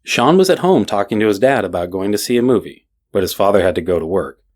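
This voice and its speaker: A man's voice: fairly low pitch, lively intonation, fast, darkish tone. A man reading a scripted bedtime story as narrator.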